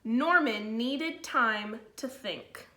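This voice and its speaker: A woman teacher reading a picture book to children in a slow, expressive way, with informal asides to her pupils. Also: on the high side. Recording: roomy, echoey sound.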